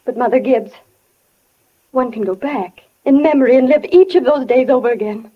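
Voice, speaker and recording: A woman's voice: high-pitched, expressive, moderate pace, slightly rough. An actress playing a wistful young wife and mother who has died and yearns for life, in 1940s radio acting. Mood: yearning, insistent. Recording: dull vintage 1940 radio recording.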